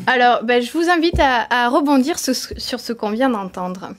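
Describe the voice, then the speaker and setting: A woman moderating a television panel in a polite, guiding manner. Her voice is somewhat higher than average, and she keeps her questions short and neat. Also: expressive, normal speed. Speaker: TV host. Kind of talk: hosting a studio discussion.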